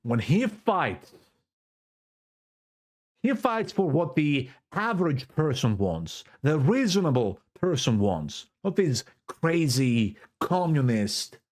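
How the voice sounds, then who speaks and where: A fervent Greek Cypriot man talks straight into his own camera and preaches like he's at a pulpit. He takes his time over every phrase, and his pitch swoops up and down with emotion. He hammers key words home by saying them twice. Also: big pitch swings, slow, a little mellow. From Cyprus. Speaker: vlogger. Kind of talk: impassioned political monologue to camera. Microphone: self-recorded camera setup.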